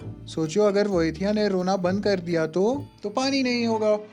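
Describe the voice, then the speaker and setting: A woman's voice: medium pitch, normal speed, a little mellow, faint music behind, close to an everyday voice. A woman voicing an eager, scheming young boy hero in a cartoon dub, enthusiastic and sure of himself. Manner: enthusiastic, self-assured.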